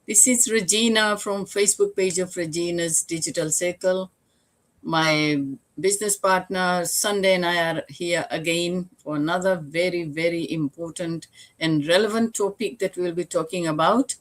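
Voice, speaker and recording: A woman's voice: lowish voice, fairly even intonation, normal speed. An older woman from Fiji hosting a live online talk show, speaking earnestly and reflectively, with rhetorical questions. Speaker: host.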